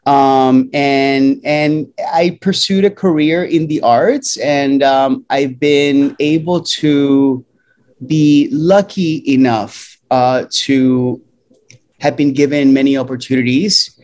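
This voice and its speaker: A middle-aged man from Puerto Rico speaks slowly as a guest in a relaxed interview. His talk is loose and unhurried, with frequent ums and restarts between thoughts. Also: medium pitch.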